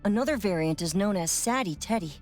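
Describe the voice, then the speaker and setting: A woman's voice: mid-range voice, expressive, brisk, a little mellow, natural voice. Woman acting brainy lore expert lady, very varied intonation, precise explainer, game voice, faint music. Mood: informative. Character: knowledgeable, thoughtful woman explaining supernatural lore.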